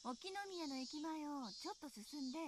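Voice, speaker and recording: Casting: a firm middle-aged game mother, matter-of-fact, very fast-talking over clear background noise. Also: high-pitched, animated. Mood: brisk request. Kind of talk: Japanese visual novel voice acting.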